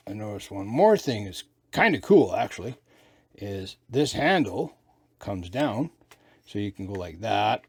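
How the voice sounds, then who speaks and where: A man in his fifties with a rough, somewhat gravelly voice, chatting loosely as he tests a new camcorder in his bedroom. He fills pauses with ums and uhs and drifts from close to the camera to several feet back from its mic. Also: fairly low pitch, a little mellow. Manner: rambling, talks while fiddling with gear, many fillers. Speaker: product reviewer. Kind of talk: casual hands-on product review. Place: small bedroom at home, indoors. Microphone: camcorder's own shotgun mic, from a few feet to eight feet away.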